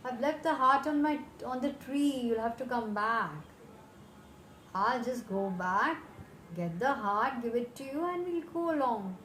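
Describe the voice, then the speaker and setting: A woman voicing a clever, cheerful male monkey in a told folk tale, talking brightly and eagerly. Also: a little high, expressive, slight background noise, pitched above the performer's own voice. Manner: bright and eager, quick to reassure. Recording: roomy with faint ambience.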